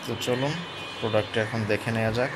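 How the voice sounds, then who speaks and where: Guy doing a casual product review, average pitch, explains and keeps checking in, noticeable noise in the background. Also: steady tone, fast. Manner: explanatory, often checks in with listeners. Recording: clear background noise, urban ambience.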